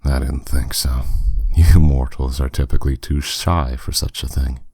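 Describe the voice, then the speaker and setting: A man's voice: rumbling low, steady tone, moderate pace, warm tone, breathy edge, dry recording. A man playing a dominant, suave demon lord in an intimate roleplay audio drama, speaking in a commanding, condescending, teasing way.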